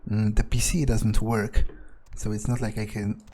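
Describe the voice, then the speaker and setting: A man's voice: on the low side, flat delivery, normal speed, warm tone, a little hiss or hum, close to an everyday voice. A man playing a sardonic, grumbling vampire who thinks aloud in casual, sweary monologue for a game roleplay. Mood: musing. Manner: grumbling, sarcastic, swears freely. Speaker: game player narrating in character.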